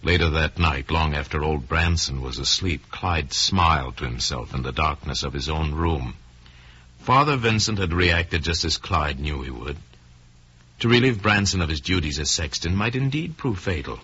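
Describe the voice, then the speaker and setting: A man narrating a crime story in old-time radio style, deliberate and suspenseful, with dramatic pauses. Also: rumbling low, lively intonation, bright, forceful tone, breathy edge. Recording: dull, lo-fi old radio recording.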